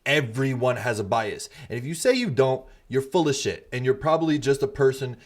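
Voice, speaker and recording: A man's voice: mid-range voice, lively intonation, brisk. A man co-hosting a podcast, talking bluntly and emphatically in a casual debate, swearing freely. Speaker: podcast co-host.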